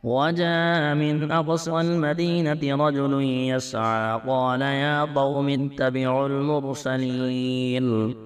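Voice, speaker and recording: A man chants the Quran as a reciter, with an extremely smooth and resonant voice. His delivery is slow and measured, each phrase ending stretched out melodically. The pitch sits in a middle male range. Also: level tone.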